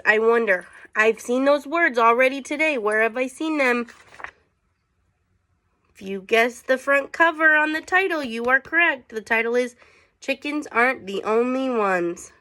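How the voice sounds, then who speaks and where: A woman's voice: fairly high pitch, a bit bright. A woman teacher reading a picture book aloud to children, casually prompting them with questions as she goes. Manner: unhurried, inquisitive, prompting listeners with questions.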